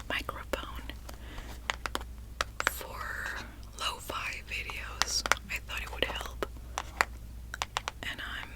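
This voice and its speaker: Slow soft whisper, woman w/ rambling asmr-style, joking about herself. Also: low-quality recording. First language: Spanish. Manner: soft rambling whisper, self-deprecating asides. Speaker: ASMR creator.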